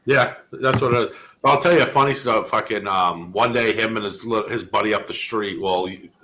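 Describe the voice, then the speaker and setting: A man in his thirties from Massachusetts, telling profane, animated stories in a casual chat and acting out the quotes. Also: on the low side, fairly quick, background noise.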